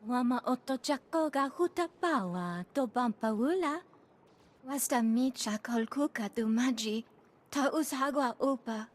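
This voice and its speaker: A self-interested female alien agent in a video game. She has a fairly high voice and a businesslike manner. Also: lively intonation, unhurried. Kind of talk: scripted video game dialogue.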